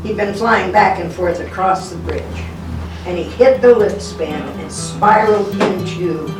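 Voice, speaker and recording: A woman's voice: medium pitch, measured pace. An older woman giving a live storytelling talk in a folksy, conversational way, acting out the dialogue as a local historian would. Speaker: guest speaker, local historian. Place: indoor talk at a historic log cabin. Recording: roomy, distant microphone, music behind.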